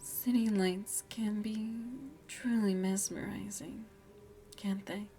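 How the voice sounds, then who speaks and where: Woman playing a slow, hushed, seductive vampire lady. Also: medium pitch, steady tone, a little hiss or hum. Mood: soothing, genteel. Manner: slow, genteel, softly half-whispered, coaxing. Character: elegant, seductive vampire lady of the manor, motherly. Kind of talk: ASMR roleplay, soft breathy speech.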